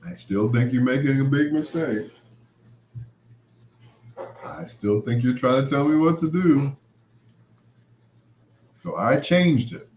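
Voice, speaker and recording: A man's voice: mid-range voice, expressive, slow, pauses often, a little mellow, a little hiss or hum. A man hosting a late-night show, talking in a casual, rambling, conversational monologue with anecdotes. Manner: conversational, anecdotal, quoting past exchanges. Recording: roomy, echoing sound.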